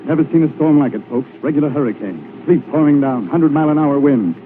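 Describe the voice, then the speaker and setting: A man's voice: fairly high pitch, animated, quick pace, narrow, tinny audio, a little hiss or hum. An American man working as a radio announcer in the scripted style of the 1940s, on an old broadcast recording.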